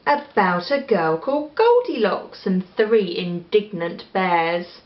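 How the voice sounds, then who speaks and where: A woman with an English accent reading a children's story aloud in an expressive style. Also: mid-range voice, slow pace. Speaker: storybook reader. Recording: echoey room, slightly dull sound.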